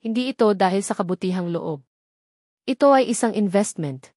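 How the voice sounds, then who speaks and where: A woman's voice: mid-range voice, lively intonation, moderate pace, a little mellow, no room sound, clean studio sound. A woman narrating a scripted dramatic story.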